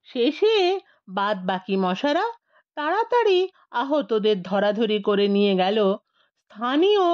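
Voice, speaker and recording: A woman's voice: on the high side, no echo, highs cut off. A woman narrating a scripted comic story in a theatrical, playful way, stretching words for emphasis. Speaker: audiobook narrator.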